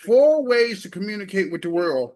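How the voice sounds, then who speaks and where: A man's voice: sits high, big pitch swings, normal speed, a little mellow. A man reviewing a gadget casually and hands-on, talking himself through each step in a folksy, emphatic way. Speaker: product reviewer.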